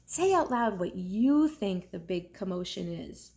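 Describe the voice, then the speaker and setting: A woman reading a storybook aloud like a teacher, expressive and deliberate for young listeners. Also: medium pitch.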